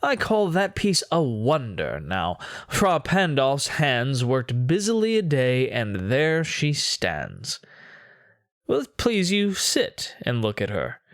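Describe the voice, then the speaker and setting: A man performing a dramatic poetry monologue as a haughty, controlling, sinister Renaissance duke, with a pompous, theatrical, deliberate delivery. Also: mid-range voice, huge pitch swings, no room sound, clean studio sound, exaggerated voice. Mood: proud, courtly.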